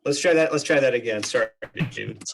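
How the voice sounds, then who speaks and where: A man's voice: mid-range voice, fast, gravelly, a little hiss or hum. A man who is a researcher, hosting a webinar and introducing a guest in a casual, somewhat hesitant way.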